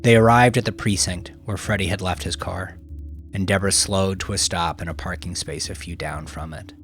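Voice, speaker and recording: A man narrating crime fiction in a measured, dramatic storytelling manner. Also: deep voice, fairly even intonation, soft background music. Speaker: audiobook narrator.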